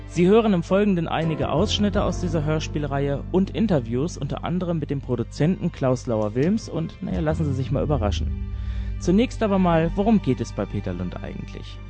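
Casting: male podcast presenter, somewhat high pitch, scripted delivery, prominent music bed. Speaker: podcast host.

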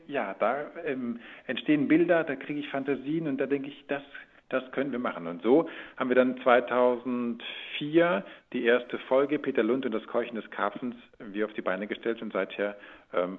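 A man's voice: medium pitch, breathy edge. A man giving informal, rambling interview answers in a conversational way, as a guest. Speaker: radio drama producer, actor and interview guest. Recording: phone-like narrow band sound.

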